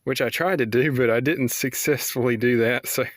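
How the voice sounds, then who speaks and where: A man's voice: mid-range voice, moderate pace, no room sound, studio quality. A man casually narrating a hands-on how-to in a folksy, plainspoken way. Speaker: vlogger showing a DIY repair.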